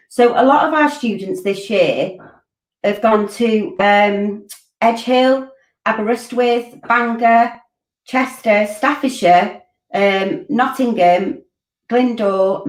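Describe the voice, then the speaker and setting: A woman in her forties hosting a college Q&A stream from home, answering viewer questions informally with lots of ums and restarts on a laptop webcam. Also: mid-range voice. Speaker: college curriculum director hosting a Q&A. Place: home room, indoors. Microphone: laptop webcam on a video call. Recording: roomy laptop mic from a distance.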